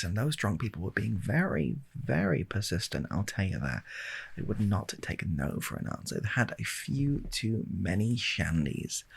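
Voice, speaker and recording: Give a soft-spoken British man telling a casual story for ASMR, close to the mic. His low voice is very breathy, with lively rises and falls in pitch. He is hesitant and wry, rambling along in an understated way. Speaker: ASMR video creator. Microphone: handheld stereo recorder, close up.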